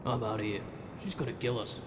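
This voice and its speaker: A man's voice: quick pace, natural voice. A man playing a starstruck, easily frightened henchman guard in a superhero radio drama. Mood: alarmed. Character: male guard, starstruck, easily scared fan of villains. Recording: echoey hall, loud noise and rumble.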